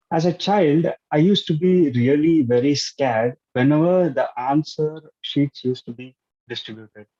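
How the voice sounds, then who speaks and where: A man's voice: mid-range voice, dark tone. A Tamil-speaking man with an Indian English accent, giving an earnest prepared speech over a video call, with some restarts. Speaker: club speaker. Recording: dull lo-fi call audio.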